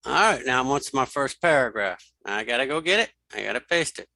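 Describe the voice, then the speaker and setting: A middle-aged man hosting a screen reader software tutorial, informally thinking aloud and repeating key steps. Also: medium pitch, lively intonation, a little fast, creaky, dry recording. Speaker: tutorial host and web developer.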